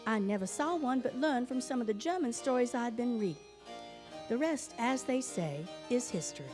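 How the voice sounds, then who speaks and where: Actress as wry wife, poised, over music. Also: female voice, a little high, expressive, normal speed, a little mellow, lightly acted. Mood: storytelling. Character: wry, affectionate wife narrating Christmas history. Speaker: mother and co-narrator. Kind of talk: live stage audio drama narration. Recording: live stage recording under music.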